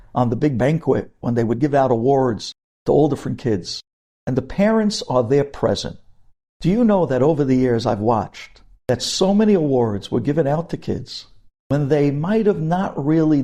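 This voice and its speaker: A man's voice: mid-range voice, mellow. A man giving a religious ethics lecture as a rabbi, speaking emphatically and putting rhetorical questions straight to his listeners.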